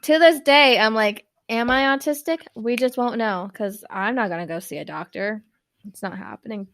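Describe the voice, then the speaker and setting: A woman podcast co-host with a fairly high voice talks through a true crime story in loose, casual chat. She rambles, leans on filler words, and trips over long words. Her first language is Spanish, and she grew up in New York. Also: moderate pace, fairly bright tone.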